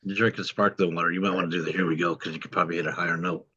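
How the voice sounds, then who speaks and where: A man's voice: sits low, steady tone, sharp tone, breathy edge. A man joking casually with the host before a live session. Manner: joking, quick. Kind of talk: casual chat. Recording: muffled remote line.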